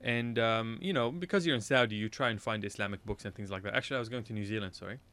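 A man's voice: lowish voice, quick pace, pushed and bright. Rambling man telling a story. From Iraq. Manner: rambling, self-correcting, laughs at himself. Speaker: radio show guest. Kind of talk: casual anecdote storytelling.

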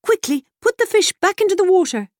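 A woman voicing a wise magical mare who gives brisk, commanding orders. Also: very high voice, lively intonation, a little mellow, no room sound, studio quality, pitched above the performer's own voice.

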